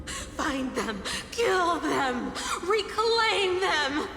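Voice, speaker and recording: A woman voicing a deranged female fanatical creature in an anime-style game, halting and manic, breaking into laughter and sobs. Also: high voice, big pitch swings, full character voice. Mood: gleeful, unhinged. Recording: echoey long reverb, loud music.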